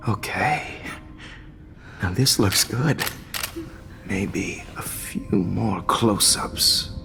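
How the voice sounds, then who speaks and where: A man's voice: lowish voice, extremely slow, creaky, heavily acted. An actor playing a sinister, obsessive photography teacher in a video game, menacing and pompous, lingering on his words. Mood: menacing calm. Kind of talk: dramatic game cutscene acting. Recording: echoey hall, music underneath.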